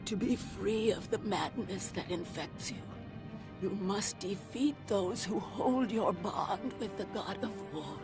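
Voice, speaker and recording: Slow, solemn oracle. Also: female voice, medium pitch. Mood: fading, urgent. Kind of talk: dramatic video game acting. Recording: loud music behind the voice. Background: loud music.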